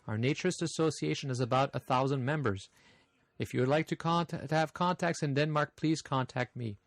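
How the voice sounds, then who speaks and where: A man's voice: mid-range voice, no echo. Man with slight French accent. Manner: easygoing, friendly, with frequent "uh" pauses. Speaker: podcast host. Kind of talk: casual podcast chat reading listener mail.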